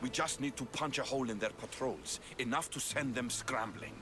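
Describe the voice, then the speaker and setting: A man's voice: medium pitch, normal speed, music in the background, lightly acted. A man voicing a proud Spartan commander in a game cutscene, speaking bluntly and with command. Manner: blunt and commanding, with fierce swings. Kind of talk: video game cutscene acting. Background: orchestral score.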